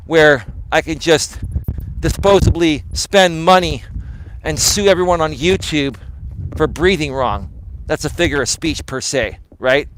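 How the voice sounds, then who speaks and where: A man live streaming outdoors on his phone while walking, talking to viewers in a rambling, emphatic way, sounding tired and a little hoarse. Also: a little high, a bit bright, low-quality recording. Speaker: life coach and live streamer. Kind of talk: casual live stream talk to chat. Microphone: phone camera held while walking.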